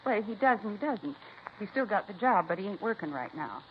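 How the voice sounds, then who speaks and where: A woman's voice: medium pitch, very animated, brisk, close to an everyday voice. An American woman playing a chatty, self-assured supermarket cashier in a 1950s radio drama, folksy and emphatic, repeating herself. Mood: confiding, insistent. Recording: muffled, hissy 1950s radio recording.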